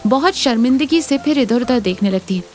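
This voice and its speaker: A woman's voice: fairly high pitch, lively intonation, fairly quick, a little mellow, faint music behind. A woman reading scripted narration for a cartoon moral story.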